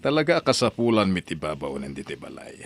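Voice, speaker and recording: A man's voice: low-pitched, big pitch swings, fast, vocal fry, full character voice. A man playing a well-off, calculating employer in a drama, speaking with authority. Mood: matter-of-fact. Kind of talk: Ilocano radio-style drama acting.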